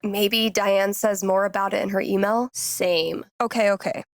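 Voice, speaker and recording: An actress as a wry, unhurried young American woman. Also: mid-range voice, no echo, studio quality, natural voice. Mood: earnest. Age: young adult. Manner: casual, teasing, deadpan. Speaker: video game voice actress. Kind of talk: naturalistic game dialogue.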